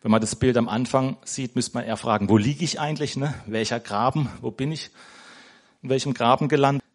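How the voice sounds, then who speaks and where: A man's voice: mid-range voice, fairly quick. Man giving a chatty, meandering sermon. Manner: conversational, tags nearly every sentence with "ne?"; searching for words, restarting sentences. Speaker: lay preacher. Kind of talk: informal sermon. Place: church.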